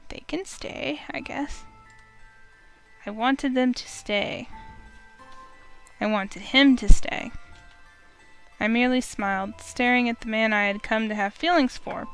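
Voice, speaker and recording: Woman streamer, slightly high voice, narrates a romance game aloud with feeling. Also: takes it slowly, vocal fry, pauses often, soft background music. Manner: expressive, first-person storytelling. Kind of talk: reading a visual novel aloud.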